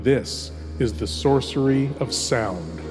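A man performing as a suave, sinister lord who hosts horror shows, with theatrical, intimate, deliberate narration. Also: mid-range voice, music in the background, natural voice. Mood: silky, welcoming menace. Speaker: show host. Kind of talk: scripted horror-host narration. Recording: long hall reverb.

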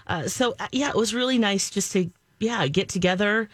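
A woman in her thirties co-hosting a casual chat, talking in a relaxed way with fillers. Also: medium pitch, normal speed, dry recording. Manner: relaxed, many fillers and laughs. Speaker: co-host.

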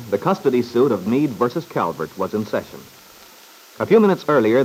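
A man announcing a vintage radio serial. He narrates the scene formally and deliberately, with a medium-pitched, slightly husky voice. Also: rough recording. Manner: formal, measured storytelling. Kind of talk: old-time radio serial narration, scripted.

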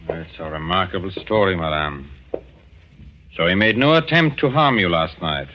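An actor playing a grave, courteous German colonel in a 1940s radio suspense drama, speaking in a measured, formal way. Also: male voice, low-pitched, expressive, slight background noise. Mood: grave, reserved. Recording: dull old 1940s radio recording.

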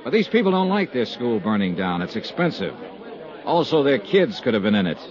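A hard-boiled male investigator from 1950s radio drama, played by an actor, wry, brisk and insistent. His pitch moves in animated swings. The recording is dull and vintage, with a crowd audible behind him. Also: lightly acted. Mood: sardonic. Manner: clipped, insistent, sardonic. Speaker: lead actor and narrator. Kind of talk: 1950s radio detective acting, narrating and in dialogue.

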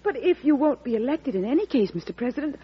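An actress playing a president's loyal, anxious secretary in a radio drama, deferential, formal and emphatic. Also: female voice, high-pitched, very animated, fast talker, breathy edge, full character voice. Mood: worried, pleading. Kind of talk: 1940s radio drama acting. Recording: dull vintage radio recording.

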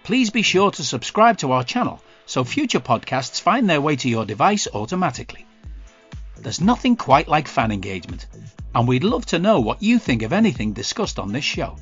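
Man, announcer, scripted outro, animated delivery, faint music behind. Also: mid-range voice, normal speed, slightly rough, highs cut off. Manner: upbeat promotional read. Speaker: network announcer.

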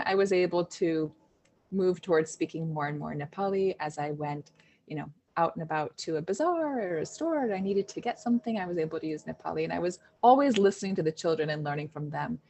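Woman w a lowish voice chatting about her teaching days, lots of ums, faint hiss behind. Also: gravelly. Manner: warm storytelling with fillers and restarts. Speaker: Montessori education director, guest. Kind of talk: conversational interview answers.

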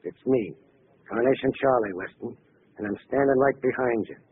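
An actor playing a cocky, vengeful gangster with an American accent and slangy, taunting tough talk in a 1940s radio drama. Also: male voice, medium pitch, normal speed, natural voice. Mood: menacing. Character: cocky, vengeful male gangster back from supposed execution. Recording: noisy, tinny old radio broadcast recording.

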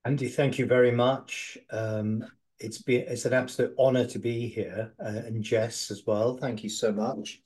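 A middle-aged man, an agency founder, answering interview questions in a measured way with many "uh"s and "um"s. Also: mid-range voice, flat delivery, darkish tone. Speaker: guest, agency founder and CEO.

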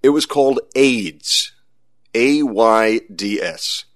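A man's voice: mid-range voice, slow pace, dry recording. An American man hosting a science podcast in a conversational, wry way with dry humor. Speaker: podcast host.

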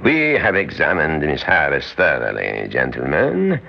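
An actor in an old-time radio drama playing a calculating male conspirator who speaks in a measured, formal, deliberate way. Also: a bass voice, huge pitch swings, creaky, exaggerated voice. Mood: coolly conspiratorial. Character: smooth-talking, calculating male conspirator, secretly an examining official. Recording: dull, muffled old radio recording.

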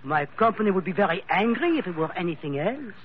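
An actor playing a jaunty, coolly ruthless French investigator with a French accent and blithe, formal phrasing in a 1940s radio drama. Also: male voice, high voice, very animated, quick pace. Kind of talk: 1940s radio adventure acting. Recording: muffled, hissy vintage radio.

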